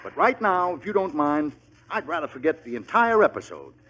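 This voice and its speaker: A man's voice: on the high side, very animated, normal speed, pushed and bright, highs cut off. An actor playing a middle-aged, exasperated American sitcom father in 1940s radio comedy, emphatic and sputtering, on an old noisy recording. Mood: exasperated, hopeful.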